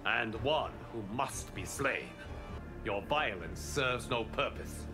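A solemn god from a video game. He speaks in a measured, formal way, slowly but with a wide melodic range. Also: mid-range voice, lively intonation, over music. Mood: grave. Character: calm, solemn male god.

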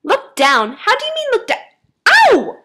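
A high-voiced teenage girl, played by a woman reader, indignant and easily panicked. She exclaims in disbelief, as a character in a dramatic story. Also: lively intonation, normal speed, fairly bright tone. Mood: startled. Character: startled, indignant, panicky sixteen-year-old girl.

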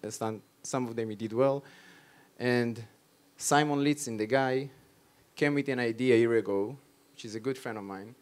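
A man in his thirties with an Israeli accent, giving a live conference presentation in emphatic, short, repeated phrases into a handheld microphone. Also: mid-range voice. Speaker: conference speaker on startups and innovation. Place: stage at a tech conference.

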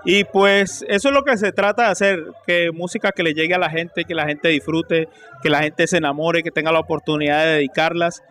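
Colombian male singer, middle-aged, high voice, casual interview over faint music. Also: fairly even intonation, a little fast, a bit bright. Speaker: salsa singer being interviewed. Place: indoor room at a nightclub event. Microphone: handheld interview microphone.

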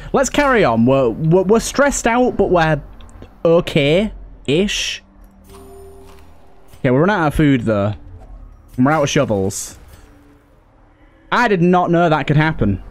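A man's voice: on the high side, darkish tone. A man hosting a let's play, chatting casually and thinking aloud as he goes. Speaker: gaming video host.

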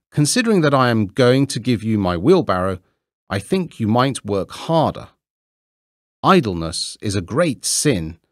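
Man voicing a preachy miller, self-righteous, lecturing, slow, lively pitch, storybook reading. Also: dry recording, clean studio sound. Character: smug, preachy grown male miller.

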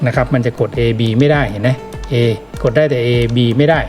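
A man talks through a product demonstration in a casual, informal way. His voice sits at a medium pitch, and he goes step by step, repeating the key instructions. Music plays clearly behind him. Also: a little fast, darkish tone. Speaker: product demonstrator giving a tutorial. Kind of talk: casual hands-on tutorial narration. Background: clearly audible background music.

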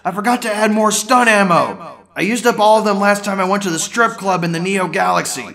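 A man playing a crude, cynical old mad scientist grandfather in a cartoon audio drama, brash, sweary and dismissive, with a gravelly voice. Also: sits high, brisk, exaggerated voice. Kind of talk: fan-made cartoon audio drama acting. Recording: loud music under the voice.

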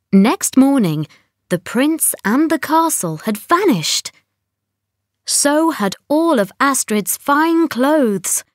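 A woman reads a children's bedtime story as narrator. Her delivery is unhurried and very lively in pitch, recorded close and dry. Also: no room sound.

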